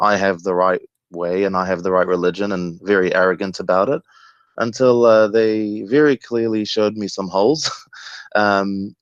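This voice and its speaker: A man's voice: lowish voice, no echo. A man in his thirties, originally from Egypt, calling in and talking earnestly with frequent ums. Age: 30s. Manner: steady, earnest, many ums. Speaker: caller. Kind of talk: phone call to a show. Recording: dull, dry phone-line sound.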